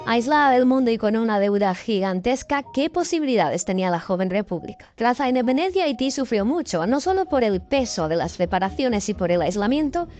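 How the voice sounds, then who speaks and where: A woman reading a scripted news documentary narration in a clear, measured way. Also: on the high side, sing-song, darkish tone.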